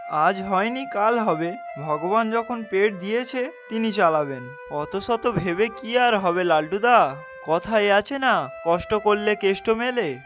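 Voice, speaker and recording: A man acts out a poor but accepting village peddler from a cartoon folk tale. He sounds consoling and philosophical. The recording is dull, and music runs clearly behind him. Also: sits high. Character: easygoing, devout poor village peddler, younger friend. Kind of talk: animated fairy-tale cartoon dialogue.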